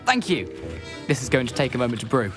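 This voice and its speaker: A man's voice: mid-range voice, sing-song, quick pace, music in the background, natural voice. A man acting an eager, mischievous teenage wizard in a video game, with a British accent and chummy, persuasive manner. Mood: excited, then puzzled.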